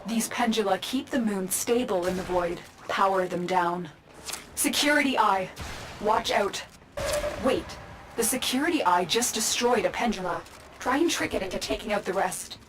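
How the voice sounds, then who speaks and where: A commanding mission guide, played by a woman, delivers firm, composed instructions. Her voice sits at a middle pitch with a faint hiss of ambience behind it. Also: moderate pace, close to an everyday voice. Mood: urgent. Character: calm, commanding female mission guide, protective.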